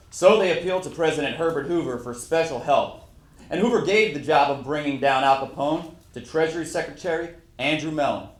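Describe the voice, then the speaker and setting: A man's voice: on the high side, lightly acted. A man playing a famous, proud 1930s Prohibition lawman, giving a formal, matter-of-fact recitation of his career. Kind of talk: first-person historical monologue, read aloud. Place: indoor room at a live reading event. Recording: echoey room, live stage reading.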